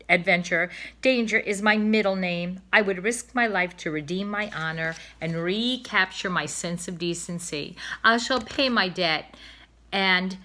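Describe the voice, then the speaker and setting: A woman's voice: lowish voice, sharp tone. A woman voicing a proud, long-winded old male swan in a storybook, with grand, declamatory, melodramatic speech. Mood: grandly boastful. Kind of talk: storybook read-aloud character voice.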